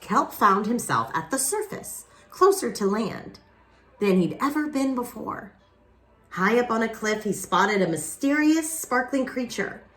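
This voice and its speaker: A woman reading a picture book aloud expressively, with dramatic pauses and casual asides to young listeners. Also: on the high side. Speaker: children's picture book reader.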